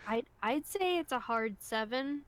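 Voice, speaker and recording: A woman's voice: on the high side, animated, measured pace. A woman chatting casually as co-host of a group livestream, fielding questions from viewers. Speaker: co-host reading out chat questions.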